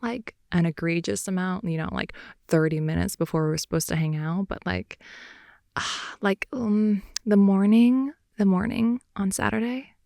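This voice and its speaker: A young woman playing a playful, clingy girlfriend in an improvised ASMR roleplay, rambling softly and intimately close to the mic. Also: medium pitch, vocal fry, dry recording. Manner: soft, intimate, rambling, giggly, often dropping to whisper. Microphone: close headphone-oriented ASMR mic.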